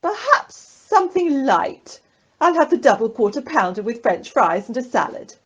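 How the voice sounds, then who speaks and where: A woman's voice: fairly high pitch, sing-song, normal speed, slightly rough, highs cut off. A woman playing a flirtatious, drink-loving holidaymaker in an amateur radio comedy, gushing and saucy, recorded over a telephone conference. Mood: breezy.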